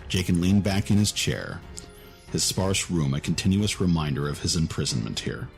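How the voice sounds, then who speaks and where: Very low-voiced man narrating fantasy over music. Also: expressive, moderate pace, vocal fry. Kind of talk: scripted fantasy story narration.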